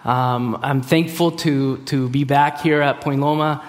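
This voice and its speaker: A man's voice: mid-range voice, fairly even intonation. A man in his thirties, from Costa Rica, preaching a sermon after a chatty personal introduction, with frequent fillers and restarts. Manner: halting, many fillers and restarts. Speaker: guest preacher. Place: large hall. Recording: hall reverb.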